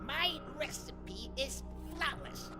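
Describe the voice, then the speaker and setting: A woman voicing an arrogant, paranoid villain in an animated game, haughty, theatrical and scornful. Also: sits high, takes it slowly. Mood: outraged, scornful. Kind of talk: animated game cutscene acting. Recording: loud cinematic music under dialogue.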